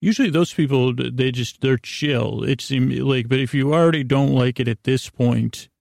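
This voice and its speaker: A man hosting a sleep podcast, giving a gentle, soothing, meandering bedtime monologue in a halting way full of trailing asides. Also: mid-range voice, vocal fry, dry recording, studio quality.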